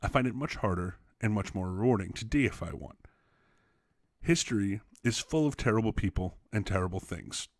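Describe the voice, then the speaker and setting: A man reading an essay aloud as a teacher, expressively, with emphatic, deliberate phrasing. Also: on the low side, expressive, no echo, studio quality. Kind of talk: expressive read-aloud narration for students.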